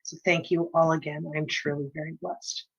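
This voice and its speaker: Lady w a cold, scratchy, kinda halting thank you speech, muffled lo-fi audio. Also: sits low, level tone, husky, dry recording. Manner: humble, a little halting. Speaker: award recipient. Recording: dull lo-fi audio.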